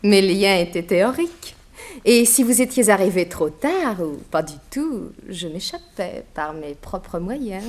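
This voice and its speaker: A woman's voice: mid-range voice, lively intonation, measured pace. An actress playing a cruel, gloating female master criminal in an old radio crime serial, mocking and savouring her threats. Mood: smug gloating.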